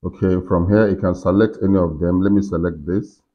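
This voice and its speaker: A man in his forties with a low voice talks through a software tutorial in a casual way. He guides himself and the listener step by step. The recording is slightly dull and lo-fi. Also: fairly even intonation, fairly quick. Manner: talking himself through each on-screen step. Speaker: tutorial host. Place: indoors in a furnished room.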